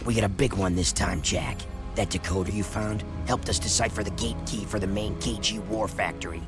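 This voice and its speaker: A man acts a serious, commanding military leader in a dramatic game briefing. His voice is fairly low and earnest with urgency, set against very loud music. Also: steady tone, a little fast, lightly acted. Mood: urgent.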